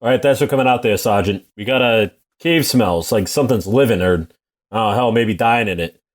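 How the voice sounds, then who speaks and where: A man playing a gruff, practical army captain with a commanding, matter-of-fact manner in a comedic radio play. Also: on the low side, normal speed, close to an everyday voice.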